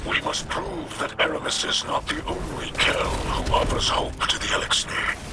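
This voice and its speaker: A man playing a solemn, regal alien leader in a video game, speaking formally with a breathy, rasping growl. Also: heavy background noise, lightly acted. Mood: urgent, commanding. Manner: slow, formal, ceremonious oratory. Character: solemn, regal male alien leader rallying his people. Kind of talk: dramatic video game dialogue. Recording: music behind the voice.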